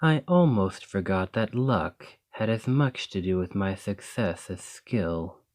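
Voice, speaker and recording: A man voicing a proud male lamia noble in an ASMR roleplay, speaking in a formal, measured and wry way. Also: low-pitched, animated, a little mellow. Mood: bitter.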